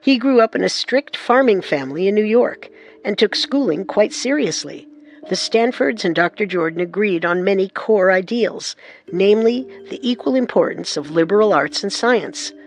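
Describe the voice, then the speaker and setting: A narrator delivering measured, deliberate scripted documentary narration. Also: mid-range voice, lively intonation.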